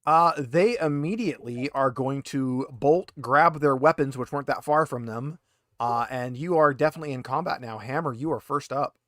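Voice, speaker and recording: A man's voice: mid-range voice, normal speed, fairly bright tone, no room sound. A man talking casually as game master, explaining rules and narrating a tabletop game in an informal, slightly hesitant, patient way.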